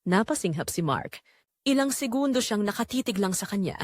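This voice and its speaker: A woman delivers dramatic story narration with a suspenseful build. Her pitch moves widely and expressively, and the sound is dry with very little room in it. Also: expressive, moderate pace, no room sound.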